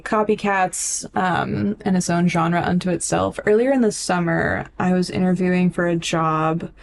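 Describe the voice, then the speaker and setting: A woman's voice: fairly low pitch, steady tone, normal speed, creaky. Casual sweary woman podcaster, noisy recording. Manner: informal, sweary, peppered with "like". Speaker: podcast co-host. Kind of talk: casual chat about books.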